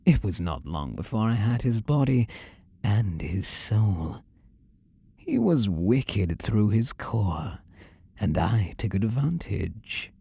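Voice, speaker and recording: Slow, seductive demon lover played by a man. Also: fairly low pitch, sing-song, vocal fry, warm tone, slightly rough, dry recording, exaggerated voice. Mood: smug, wicked. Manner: slow, commanding, sinister purr, often dropping to whispers. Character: possessive, seductive male demon lover in a human body. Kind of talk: intimate audio roleplay addressed to the listener.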